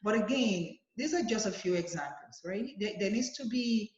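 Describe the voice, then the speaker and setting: A woman's voice: mid-range voice, expressive, moderate pace, darkish tone. A woman advocate answering interview questions earnestly, with many fillers and restarts, over a video call. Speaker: guest, advocacy leader. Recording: roomy, dull lo-fi sound.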